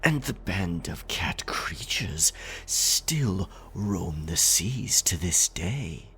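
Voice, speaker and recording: Casting: werecat pirate captain for scary ASMR roleplay. Sly, dominant, predatory; stage pirate accent, gravelly edge, dramatic swoops in pitch, very drawn-out delivery. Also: male voice, expressive, pushed and bright, exaggerated voice. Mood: sly, ominous. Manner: slow, theatrical, breathy whispering that swells into growled taunts.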